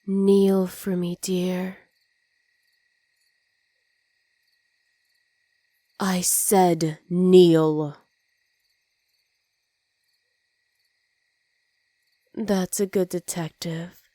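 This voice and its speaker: A woman performs a possessive, smug supervillainess in an ASMR roleplay. Her voice is soft, dark and relaxed, recorded very dry and close. She teases and commands in an unhurried, confident way. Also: lowish voice, dry recording. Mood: commanding, gloating. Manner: smug, teasing, commanding, often dropping to soft whispers. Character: dominant female supervillain with superpowers, teasing and possessive.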